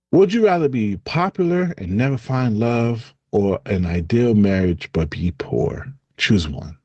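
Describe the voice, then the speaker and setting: A low-voiced man with a Haitian accent chats on a podcast, his pitch swinging widely as he gets worked up. The sound is dry and close to the mic. He talks loosely and laughs a lot. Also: dry recording. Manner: lively, jumps high in pitch when excited, laughs often. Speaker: podcast co-host. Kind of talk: casual podcast chat.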